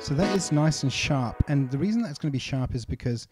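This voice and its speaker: A man's voice: mid-range voice, lively intonation, fairly quick. A man narrating a casual music production tutorial, explaining informally step by step. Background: music samples and synth sounds.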